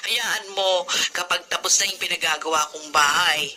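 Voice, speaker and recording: A woman's voice: fairly low pitch, fairly even intonation, moderate pace, background noise, lightly acted. An actress playing a caring middle-aged woman who runs an eatery and teases the young people around her.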